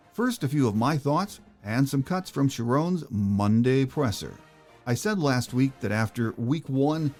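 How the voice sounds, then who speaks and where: A man's voice: medium pitch, darkish tone. Man hosting a podcast over faint music. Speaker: podcast host. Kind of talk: scripted podcast narration.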